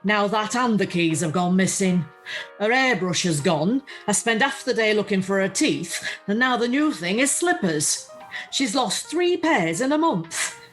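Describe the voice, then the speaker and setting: Woman acting chatty, worn-out Northern English widow, animated. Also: medium pitch, unhurried, natural voice. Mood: exasperated, chatty. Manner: blunt, colloquial, grumbling. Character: chatty, worn-out, down-to-earth widow caring for her mother. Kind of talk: radio play acting. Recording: video call recording with music.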